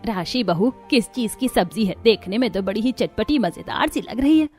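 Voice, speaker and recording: A woman's voice: on the high side, animated, normal speed, a little mellow, faint music behind, close to an everyday voice. Woman putting on a bossy, greedy older mother-in-law. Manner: demanding, nagging, lip-smacking. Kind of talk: Hindi cartoon story dialogue.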